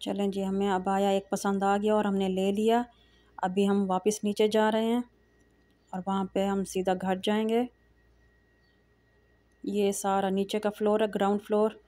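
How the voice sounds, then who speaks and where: A middle-aged woman, narrating a casual shopping vlog in a calm, soft-spoken way with frequent hesitations. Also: medium pitch, measured pace, pauses often, no echo. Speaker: vlogger. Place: large indoor shopping mall. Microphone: phone camera held while walking.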